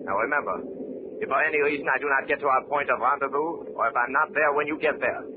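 An actor playing a commanding army captain in a 1940s radio drama, clipped and authoritative. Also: male voice, mid-range voice, fast talker, narrow, tinny audio, close to an everyday voice. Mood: stern, urgent. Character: commanding German army captain giving mission orders. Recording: noisy, phone-thin old 1940s radio recording.

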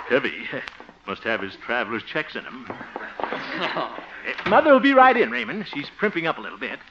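Flustered bachelor homemaker, swooping voice. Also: male voice, medium pitch, sing-song, a little slow, long pauses, noisy background. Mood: puzzled, flustered. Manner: chuckling, stammering, muttering asides. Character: flustered, good-natured middle-aged bachelor homemaker. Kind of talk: 1950 radio comedy acting. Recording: muffled old radio recording.

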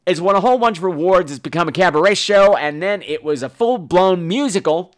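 A man talks casually as a solo podcast host. His voice sits a little high and swings up and down a lot. He rambles in a campy, bawdy, self-mocking manner. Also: lively intonation, brisk. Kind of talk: casual rambling solo podcast talk about shows and films.

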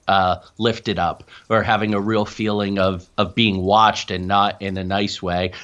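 A man's voice: lowish voice, a bit bright, lo-fi sound. A man speaking as an interview guest, explaining and telling stories in a conversational way. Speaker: interview guest, paranormal researcher.

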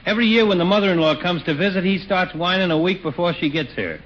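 An actor playing a chatty, wisecracking small-town barber in a 1940s radio comedy. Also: male voice, high voice, animated, fast talker, a bit bright. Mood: breezy, joking. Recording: muffled, hissy 1940s radio recording.

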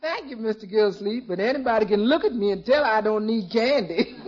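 An actress playing a good-humoured, frank housekeeper in a 1950s radio comedy, with folksy, droll delivery. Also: female voice, fairly high pitch, animated, a little fast, a little mellow. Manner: folksy, dialect grammar, droll repetition. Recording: dull old radio recording.

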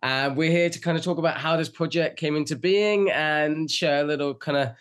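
A man's voice: fairly high pitch, a little fast, clean tone. A man hosting a casual interview as the interviewer, in a friendly, encouraging manner. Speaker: interviewer, story writer. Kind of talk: casual behind-the-scenes interview.

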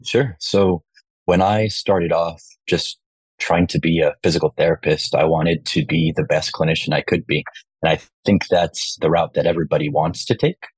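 A man guest in an interview, talking in a measured, steady way with frequent ums and uhs. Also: sits low, breathy edge, dry recording, low-quality recording. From Texas. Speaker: guest, rehab director. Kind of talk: interview answers. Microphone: remote call.

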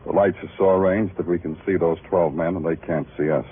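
A man's voice: low voice, fairly even intonation, fairly quick, a little hiss or hum, lightly acted. An actor playing a weary, sardonic male police detective in a 1950s radio noir drama, clipped and hard-boiled. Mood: cool, businesslike. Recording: muffled old radio recording, music behind.

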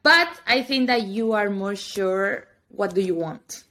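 A woman's voice: mid-range voice, unhurried, pushed and bright. A woman around thirty, a native Spanish speaker, chatting casually on a podcast in non-native English and laughing often. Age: 30s. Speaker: podcast co-host. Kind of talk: casual chat.

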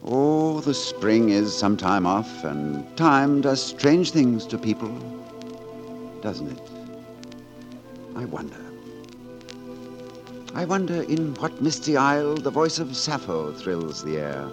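An actor playing a gallant, witty poet-rogue turned lord constable, with courtly, theatrical delivery in 1940s radio drama style. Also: male voice, medium pitch, sing-song, takes it slowly, pauses often. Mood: wistful. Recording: vintage 1940s radio broadcast, orchestral music.

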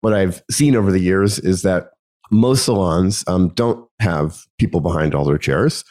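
A man hosting his own podcast alone, talking through a topic in a relaxed conversational way with many ums and restarts, his voice gravelly from a cold. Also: low voice, measured pace. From United States. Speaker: podcast host. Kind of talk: solo podcast monologue.

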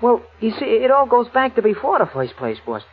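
On a tinny old radio recording, a jittery little sidekick talks in a high, husky voice. He stammers and muddles along in streetwise slang, loyal and none too bright. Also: sing-song, a little fast, full character voice. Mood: flustered, rambling. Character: nervous, dim, loyal male crook's sidekick. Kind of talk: 1940s radio comic-sidekick acting.

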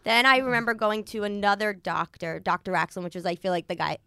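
A young American woman telling a personal story in a casual, animated, rambling way, acting out bits of dialogue. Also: medium pitch, quick pace, clean tone, no room sound, studio quality. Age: young adult. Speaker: podcast host. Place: podcast studio.

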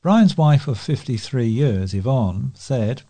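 A man's voice: medium pitch, animated, slow pace, warm tone. A man with a British accent reading scripted local news as a volunteer newsreader.

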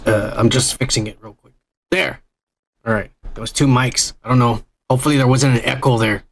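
A man's voice: medium pitch, fairly even intonation, a little fast, gravelly. A man hosting a podcast in loose, profane, rambling banter with his co-host. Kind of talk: casual podcast chat.

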